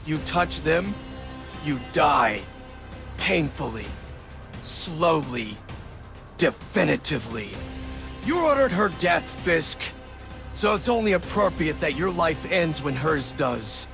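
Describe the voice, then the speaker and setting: An actor playing a vengeful, menacing superhero in a comic-book drama, speaking with deliberate, measured pauses and a gravelly edge. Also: male voice, a little high, big pitch swings, exaggerated voice. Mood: grim, vengeful. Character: vengeful, menacing male superhero threatening his enemy. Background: music.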